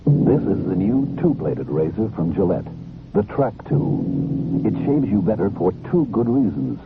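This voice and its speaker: A low-voiced man delivers a scripted vintage radio commercial with very lively swings in pitch. The sound is thin and narrow. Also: unhurried, noisy background. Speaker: commercial announcer. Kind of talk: scripted 1970s radio advertisement. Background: loud music.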